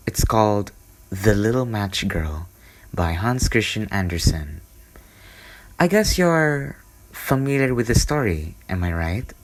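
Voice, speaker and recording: A man speaking softly and intimately in a soothing ASMR bedtime style, sometimes dropping into a whisper. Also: on the low side, dry recording. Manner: soft, intimate, soothing delivery, partly whispered. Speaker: ASMR storyteller. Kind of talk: ASMR bedtime roleplay and storybook reading.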